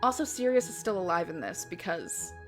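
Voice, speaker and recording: A woman's voice: on the low side, sing-song, fairly quick. Theatrical, self-mocking woman reading over music. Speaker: reader and host. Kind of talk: dramatic reading of own fan fiction with wry asides.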